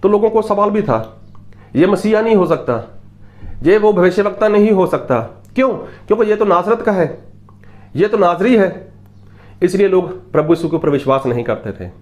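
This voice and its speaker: A man's voice: sits high, expressive, moderate pace, darkish tone. A man from Punjab, preaching a sermon in a repetitive, emphatic teaching style and asking rhetorical questions. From Punjab, India. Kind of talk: Hindi sermon with Bible readings.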